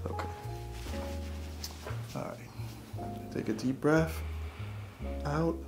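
A man's voice: on the high side, extremely slow. Guy chiropractor, chill reassuring talk, keeps checking in, music behind him. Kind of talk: casual talk while treating a patient. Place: small chiropractic treatment room indoors.

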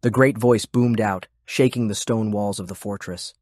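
A man's voice: fairly low pitch, steady tone, moderate pace, a little mellow, no room sound, clean studio sound. A man reading scripted fiction as an audiobook narrator.

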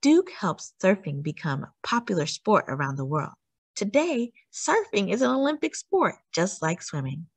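A woman reading a children's storybook aloud as a storytime host, with expressive, emphatic delivery. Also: medium pitch, breathy edge, no room sound. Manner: animated, emphatic delivery with drawn-out words.

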